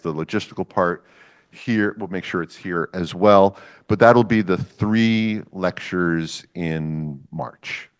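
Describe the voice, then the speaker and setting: A man with a fairly low voice hosts a public lecture. He talks casually, with fillers and light jokes for the audience, before introducing the guest speaker. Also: clear, resonant tone. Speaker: event host introducing a lecturer.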